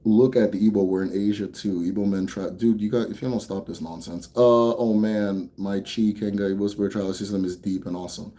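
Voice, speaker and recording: A young American man hosting a casual Q&A for viewers. He talks bluntly, swears freely, rambles a bit, then slows down to explain. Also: fairly low pitch, steady tone, dark tone. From United States. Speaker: host answering viewer questions. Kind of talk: casual livestream Q&A.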